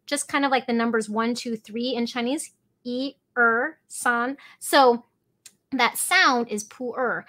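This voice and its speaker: A woman in her thirties who grew up in Hong Kong and Alaska, hosting an enthusiastic educational podcast from a home kitchen, gesturing as she talks. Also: on the high side. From Hong Kong, raised in Alaska. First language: Cantonese. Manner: animated, gestures with both hands while talking. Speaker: tea company owner and podcast host. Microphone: fixed camera at mid distance.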